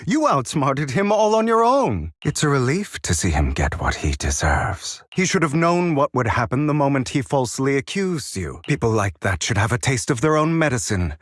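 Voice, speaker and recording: An actor playing a suave, sly gentleman in a video game, courteous and teasing, with elegant, theatrical delivery and drawn-out words. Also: medium pitch, wildly swooping pitch, no room sound, clean studio sound.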